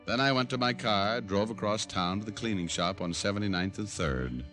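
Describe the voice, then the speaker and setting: An American actor playing a wry, tough private detective, narrating and wisecracking in a vintage radio noir drama. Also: male voice, lowish voice, moderate pace, a bit bright, lightly acted. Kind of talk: 1940s-50s radio noir acting, first-person narration. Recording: vintage radio recording with music behind.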